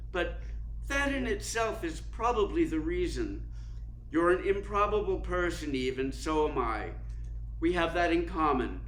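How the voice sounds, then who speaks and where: Male actor as a cynical critic, imperious, precise, worldly, radio play delivery, slightly high pitch, noisy recording. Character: suave, cynical, domineering male theater critic. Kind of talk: dramatic radio play acting. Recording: roomy echo with clear background noise.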